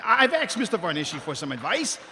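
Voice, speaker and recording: A man's voice: high voice, very animated, a little fast, bright, forceful tone, heavily acted. A man playing an awkward, fatherly dwarf king in live radio theatre, hesitant, stammering and apologetic. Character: awkward, fatherly dwarf king breaking news to his son. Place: live convention stage. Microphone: stage microphone on stand. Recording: reverberant hall stage, faint noise.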